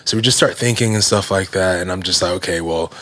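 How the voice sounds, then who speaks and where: Very deep-voiced man telling his story. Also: fast talker. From Nigerian heritage. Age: 30s. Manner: relaxed, heavy use of fillers like "you know" and "like". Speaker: guest, fitness brand founder. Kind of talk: casual conversational storytelling.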